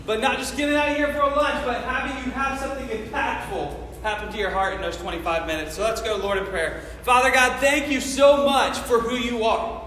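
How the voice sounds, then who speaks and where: A high, bright-voiced male pastor preaches in a loud, excitable, casual way and prays with a fervent, repeating cadence. His voice rings through a big echoey church hall, with a long reverb tail and faint room noise behind it. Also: a little fast. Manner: fervent, repetitive "Father God" prayer cadence. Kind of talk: sermon and prayer.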